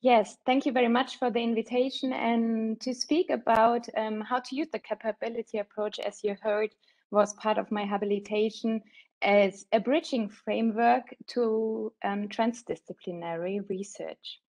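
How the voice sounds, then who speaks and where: Woman lecturer, German native, speaking by video call; measured and explanatory, with frequent "um" pauses. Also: a little high, steady tone, darkish tone. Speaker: guest lecturer. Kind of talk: academic lecture.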